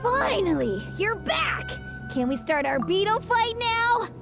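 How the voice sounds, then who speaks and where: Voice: extremely high pitch, slow pace, exaggerated voice. Gloating little boy. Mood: childish. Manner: gloating, excitable. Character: proud little boy, cocky beetle-fighting champ. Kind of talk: video game voice acting. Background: game music.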